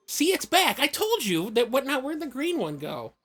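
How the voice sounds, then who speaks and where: A woman voicing a feisty, stubborn young adventurer girl in an amateur game fan dub, sarcastic and quick to snap. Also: high-pitched, very animated, fairly bright tone, husky, faint music behind, pitched above the performer's own voice, exaggerated voice. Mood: insistent.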